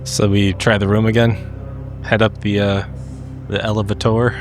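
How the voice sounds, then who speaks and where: Low-voiced man roleplaying over faint music. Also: little pitch movement, normal speed. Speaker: tabletop game player. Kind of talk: improvised in-character roleplay talk.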